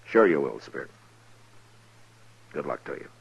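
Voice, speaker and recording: An actor playing a steady, terse frontier marshal with measured authority in a 1950s radio western. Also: male voice, rumbling low, gravelly, breathy edge, full character voice. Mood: reassuring. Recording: muffled old 1950s radio recording.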